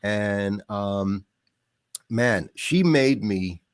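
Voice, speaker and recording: Man from the Bahamas, middle-aged, casual podcast guest, lively storytelling, slightly low pitch, dry sound. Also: extremely slow, clear, resonant tone, no echo. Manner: animated, laughs often, trails off into quieter asides.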